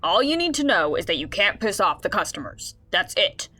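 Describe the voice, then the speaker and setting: A woman's voice: medium pitch, big pitch swings, moderate pace, bright, forceful tone. A woman voicing a curt, indifferent boss, a man in his forties, brusque and dismissive, in a radio drama.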